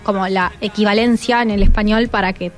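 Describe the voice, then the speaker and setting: A woman's voice: medium pitch, steady tone, a little fast, soft background music. A teenage female student with an Argentine accent, explaining informally and a little hesitantly as a radio guest, thinking aloud. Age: teens.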